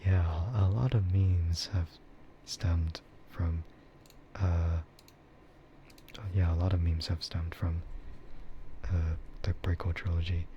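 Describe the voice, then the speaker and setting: A man streaming a casual, rambling ASMR chat in a soft, breathy voice that often slips into a whisper. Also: deep voice, little pitch movement, slow, dull audio, a little hiss or hum. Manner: rambling, laid-back. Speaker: streamer and VTuber host.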